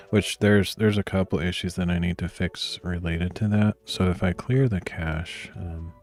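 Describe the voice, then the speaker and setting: Male streamer, very low and grainy, soft-spoken, rambling think-aloud delivery with frequent throat clearing. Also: fairly even intonation, measured pace, soft background music. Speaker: streamer coding live. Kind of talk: casual thinking aloud while coding.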